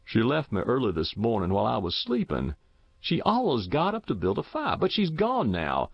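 A man's voice: medium pitch, wildly swooping pitch, normal speed, creaky, no echo, muffled recording, full character voice. A man voicing a thin, confused, stubborn old farmer with rural American speech, insistent and folksy, in a storybook reading. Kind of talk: audiobook character voice.

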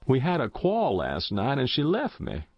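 A man's voice: medium pitch, huge pitch swings, normal speed, breathy edge, no room sound, dull audio, pitched above the performer's own voice, heavily acted. A man voicing a thin, confused, stubborn old farmer with rural American speech, insistent and folksy, in a storybook reading. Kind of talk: audiobook character voice.

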